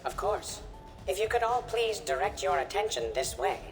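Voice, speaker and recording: A man's voice: high-pitched, big pitch swings, moderate pace, creaky, exaggerated voice. An actor playing a polite, helpful male drone assistant in a video game, speaking formally and precisely. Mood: courteous, attentive. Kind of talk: video game character performance. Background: prominent music.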